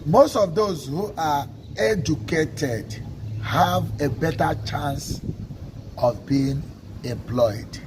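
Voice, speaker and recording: Igbo-speaking Nigerian man, livestream teacher; measured and emphatic; traffic clearly audible behind him. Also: on the high side. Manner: measured, stresses single words, asks listeners "okay?". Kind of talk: slow, deliberate teaching talk.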